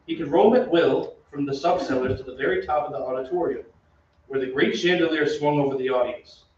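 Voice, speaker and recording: A grave opera singer narrating a spooky radio play, acted by a man, dramatic and suspenseful. His slightly high voice comes from a distance, echoing heavily. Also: brisk, darkish tone, lightly acted. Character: opera tenor recounting eerie events, grave storyteller. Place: theatre stage. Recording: echoey stage from far off, dull, noisy.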